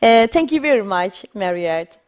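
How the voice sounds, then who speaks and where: A woman's voice: medium pitch, animated, clean tone. A woman moderating an online academic symposium over a video call, speaking hesitantly with many fillers. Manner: slow and hesitant, many fillers and soft backchannels. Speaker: session moderator.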